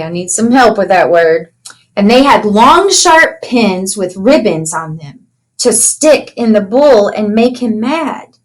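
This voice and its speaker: A woman reading a picture book aloud to children in an animated storytelling way, pausing to ask them simple questions. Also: mid-range voice, poor audio. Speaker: children's read-aloud teacher.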